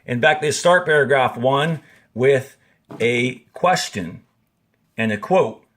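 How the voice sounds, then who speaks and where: A man teaching a grade-school reading lesson, explaining step by step and reading aloud, with pauses on key words. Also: medium pitch, animated, fairly bright tone. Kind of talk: instructional lesson with passage read aloud.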